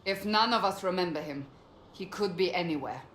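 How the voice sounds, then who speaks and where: An actress plays a probing female advisor in a game drama. Her voice is on the low side, serious and direct. It is a roomy recording with faint noise. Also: brisk, fairly bright tone, natural voice. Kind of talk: dramatic video game acting.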